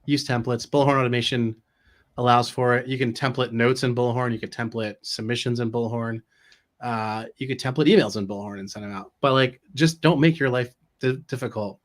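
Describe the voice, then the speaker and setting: A man's voice: medium pitch, fairly even intonation, fairly quick. A middle-aged man co-hosting a business live stream, giving casual advice and often checking in with rhetorical questions. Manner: informal, peppered with rhetorical check-ins. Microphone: video call.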